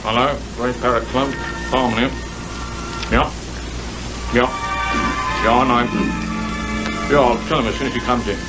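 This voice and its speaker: A man's voice: mid-range voice, steady tone, a little slow, pauses often, lightly acted, rough recording. An actor playing a curt, shady club barman on the telephone in a 1950s radio drama. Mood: curt, offhand. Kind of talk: 1950s British radio acting. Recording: 1950s radio recording, loud music behind.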